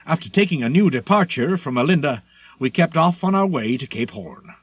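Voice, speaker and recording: Expressive male audiobook narrator, lively pitch. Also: normal speed, husky, no room sound, highs cut off. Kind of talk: scripted literary narration of a memoir.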